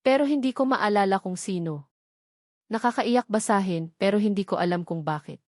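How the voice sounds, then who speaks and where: A woman voicing an anxious, hesitant young woman with memory loss in an audio romance drama, speaking in a halting, tentative way. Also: medium pitch, darkish tone, dry recording, clean studio sound, natural voice. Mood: confused, hesitant.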